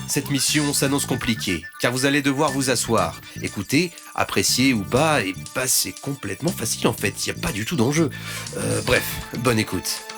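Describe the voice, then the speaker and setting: A man giving a scripted, playful introduction as presenter of an audio drama, mock-serious and tongue-in-cheek. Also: mid-range voice. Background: music.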